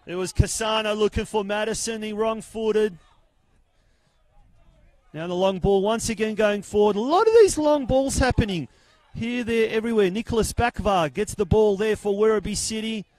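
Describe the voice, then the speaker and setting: A man giving live football commentary outdoors at a ground, booming and excitable, holding a handheld mic at arm's length. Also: normal speed, dry recording. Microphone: handheld mic held at arm's length.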